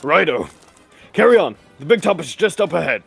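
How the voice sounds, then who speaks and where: A man playing a pompous, exclamatory old-fashioned gentleman in a 1940s-style radio play. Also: on the high side, very animated, normal speed, vocal fry, husky, soft background music, full character voice. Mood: hurried.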